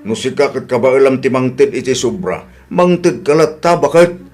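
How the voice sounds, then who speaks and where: A man's voice: mid-range voice, moderate pace, darkish tone, close to an everyday voice. A man with an Ilocano accent playing a reasonable husband who questions and reproves, in a comedy radio drama. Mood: remonstrating. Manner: remonstrating, questioning.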